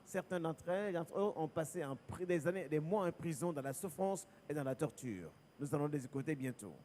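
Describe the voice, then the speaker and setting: Guy doing live commentary translation, clear announcer style, mellow slightly high voice. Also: fast, slight background noise. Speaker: French interpreter.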